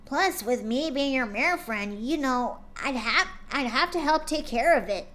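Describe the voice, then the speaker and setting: A woman voicing a brash, sporty young female pegasus pony who talks loudly and energetically. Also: high voice, a little slow, pitched above the performer's own voice. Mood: earnest. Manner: loud and energetic.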